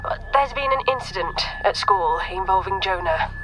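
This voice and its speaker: An actress playing a businesslike school staff member in a radio drama, speaking formally through a telephone effect. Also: female voice, medium pitch, lively intonation, moderate pace, over music, low-quality recording. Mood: official, stern. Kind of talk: naturalistic radio drama dialogue.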